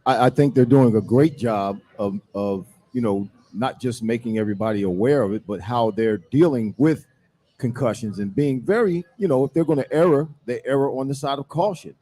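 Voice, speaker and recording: A man's voice: mid-range voice, normal speed, warm tone. A middle-aged man, a former player on a sports talk panel, emphatic and repeating phrases for weight.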